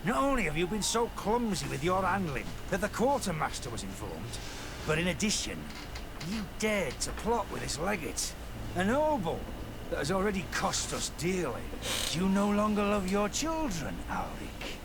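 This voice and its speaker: An actor playing a menacing gang captain in a video game, haughty, sneering and full of cold contempt. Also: male voice, sits high, big pitch swings, normal speed, noisy background.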